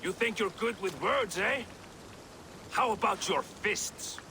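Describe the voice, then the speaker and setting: Game brawler: boastful Norse bruiser, slow, wildly swinging delivery, taunting, faint rain behind. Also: male voice, high-pitched, lively intonation, pushed and bright, slight background noise, exaggerated voice. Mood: offended, taunting. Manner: taunting, blustering, declamatory. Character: brash boastful Norse brawler, swaggering and thin-skinned.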